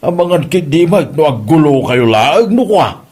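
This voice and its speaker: A man performs a disapproving village neighbour in a husky voice that swings about in pitch. He has an Ilocano accent and plays it as comedy drama. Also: sing-song, measured pace, a little mellow, heavily acted. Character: male neighbour hearing out village complaints, disapproving.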